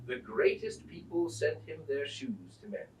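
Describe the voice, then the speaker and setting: A man reading an old tale aloud as a storyteller, in a theatrical, deliberate manner. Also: on the high side, lively intonation. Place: indoors at a museum. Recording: roomy echo, distant microphone.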